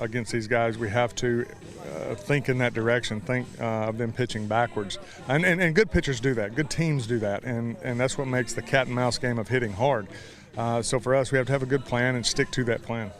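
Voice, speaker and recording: A man's voice: mid-range voice, fairly even intonation, fairly quick. A middle-aged American man, a baseball coach, answering interview questions in a folksy, plain-spoken way.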